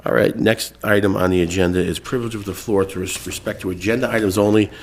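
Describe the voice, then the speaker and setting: Low-voiced, stuffed-up man in his thirties, chairing a town meeting, loose and halting. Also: fairly even intonation, slight background noise. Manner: casual, filler-heavy, restarts phrases. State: congested. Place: town hall meeting room.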